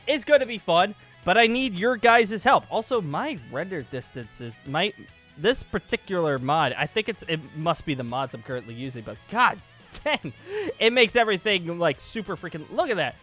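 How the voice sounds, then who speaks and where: Male YouTube host, slightly high voice, informal and rambling gaming announcements, music underneath. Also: very animated, moderate pace, fairly bright tone. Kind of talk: casual, excitable gaming announcement vlog.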